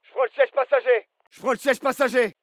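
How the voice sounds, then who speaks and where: High-pitched, clipped soldier in a game. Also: male voice, steady tone, a little fast, dry recording, rough recording. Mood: businesslike. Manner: clipped, commanding, terse. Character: British soldier in a fireteam, urgent and disciplined. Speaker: voice actor. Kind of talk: video game military voice lines, short tactical callouts.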